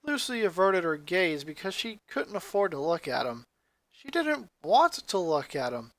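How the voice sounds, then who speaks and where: A man's voice: sits high, big pitch swings, unhurried, fairly bright tone, no room sound. A man reading fan fiction as a dramatic, scripted story narration.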